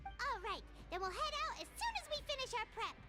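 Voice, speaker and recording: Squeaky, excitable little fairy. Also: female voice, fairly quick, loud music behind the voice. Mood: eager, curious. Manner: exclamatory, refers to herself in third person. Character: tiny excitable childlike female fairy companion. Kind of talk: video game character acting.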